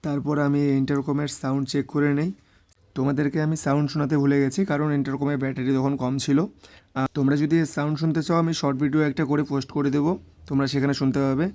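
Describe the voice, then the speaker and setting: A man's voice: mid-range voice, little pitch movement, fast talker, mellow. A man narrating a vlog voice-over, mixing in English words. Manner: mixes English words into speech. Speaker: motorbike vlogger.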